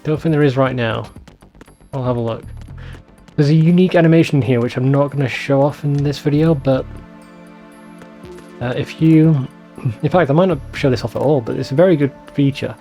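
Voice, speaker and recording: A man with a mellow, mid-pitched voice chats through a video game. He rambles conversationally and swears casually, with faint music behind him. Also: normal speed, long pauses. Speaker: let's play host. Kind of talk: casual gameplay commentary.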